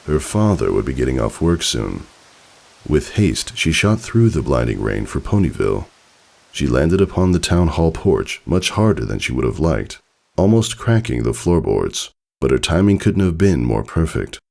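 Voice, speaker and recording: A man reading a story aloud as narrator, in an expressive, theatrical, dramatic style. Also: rumbling low, normal speed, gravelly. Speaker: fan fiction narrator.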